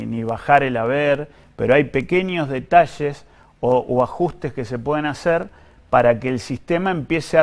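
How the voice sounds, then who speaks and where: A man with an Argentine accent speaking as an official in an interview, explaining things in a careful, measured, technical way. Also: medium pitch. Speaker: government pension official being interviewed.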